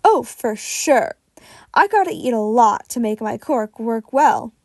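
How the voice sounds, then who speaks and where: Woman doing a big jolly foodie superhero guy, hearty, kinda high, dry audio, fanfic story. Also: expressive, unhurried, no room sound. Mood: hearty, jovial. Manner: hearty, jovial. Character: big jolly food-loving male superhero mentor.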